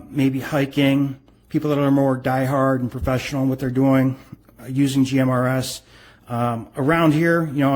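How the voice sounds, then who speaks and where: This is a middle-aged man with a mid-pitched voice. He talks through a product casually and without a script, explaining things in plain, informal terms and rambling a bit as he goes. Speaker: product reviewer. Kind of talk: casual unscripted product review.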